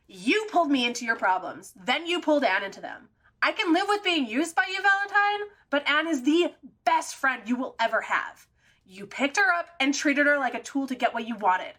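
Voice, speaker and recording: An actress playing a blunt, exasperated supernatural sidekick in an audio drama, scolding and sardonic. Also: female voice, sits high, a bit bright, heavily acted.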